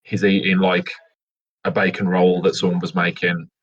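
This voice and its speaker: A man with a deep, rough-edged voice plays a tabletop roleplay game. He improvises narration in a casual, conversational way. The recording is dull and lo-fi. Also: level tone, moderate pace.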